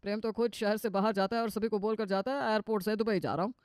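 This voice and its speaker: A woman's voice: medium pitch, fast talker, a little mellow, smooth, no echo, studio quality, natural voice. A woman voicing a pretentious, grumbling middle-aged father-in-law in a comic cartoon story, showy and exasperated, dropping in English words. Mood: weary grumbling. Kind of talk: cartoon comedy story dialogue.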